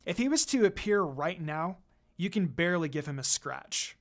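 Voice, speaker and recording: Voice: medium pitch, animated, moderate pace, natural voice. A reader voicing a guarded, blunt young female ninja who teases and commands in a terse, dry manner. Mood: stern.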